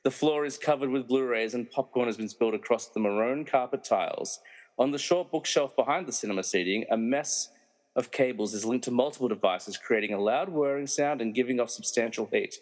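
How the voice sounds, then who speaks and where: A man's voice: medium pitch, brisk, darkish tone, lightly acted. A man playing an opinionated, wry narrator who reads scene directions for a comedy mystery radio play. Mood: wry, scene-setting. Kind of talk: scripted narration. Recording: long echoing room reverb.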